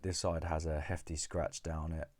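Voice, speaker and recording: Low-voiced man with a cold. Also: little pitch movement, normal speed, a little mellow, dry recording. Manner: chatty, rambling, repeats words. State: has a cold. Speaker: coin dealer. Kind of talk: casual talk to camera about purchases.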